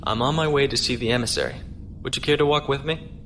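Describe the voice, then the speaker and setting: A man playing a courteous, earnest starship captain in an audio drama, speaking in a measured, formal way. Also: medium pitch, a bit bright, a little hiss or hum, lightly acted.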